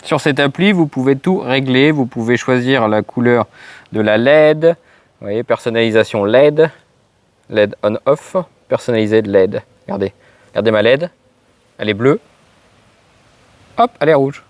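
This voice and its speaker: A man's voice: mid-range voice, normal speed, long pauses, dry recording. A man hosting a casual gear demo, talking straight to his viewers. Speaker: motovlog host testing gear. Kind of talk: casual product demo to camera.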